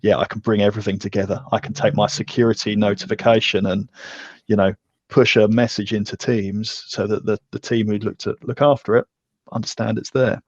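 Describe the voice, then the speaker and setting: A man with a fairly low voice and very little melodic movement talks through technical ideas as an interview guest. He explains in a discursive, conversational way. The sound is close and dry, with a slightly dull top end. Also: little pitch movement, a little fast, dry recording. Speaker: podcast guest, technical architect.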